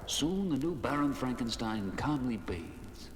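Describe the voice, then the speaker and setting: An actor as the melodramatic, portentous storyteller of a gothic horror tale on a 1970s audio drama. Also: male voice, medium pitch, very animated, measured pace, very noisy. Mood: dramatic. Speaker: narrator. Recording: loud dramatic music under narration. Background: loud music.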